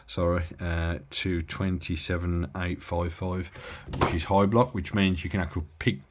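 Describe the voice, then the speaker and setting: A deep-voiced man talks through an old radio he is testing at the bench. His delivery is casual and rambling, full of filler words and mid-sentence corrections. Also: little pitch movement, moderate pace, background noise. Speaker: radio hobbyist reviewing gear. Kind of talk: casual bench-test walkthrough.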